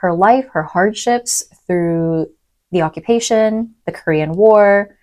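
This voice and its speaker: A young adult woman lecturer who grew up in East Africa, speaking thoughtfully in a podcast interview with frequent pauses and "um"s. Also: medium pitch. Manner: thoughtful, soft-spoken, many "um"s. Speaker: university lecturer.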